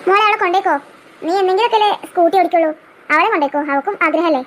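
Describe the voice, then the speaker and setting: A very high-pitched cartoon mother acted out, exasperated and bustling. She nags and coaxes in a family-comedy manner. Faint noise sits behind the voice. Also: racing. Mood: coaxing. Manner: nagging, coaxing. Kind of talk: Malayalam comedy cartoon dialogue.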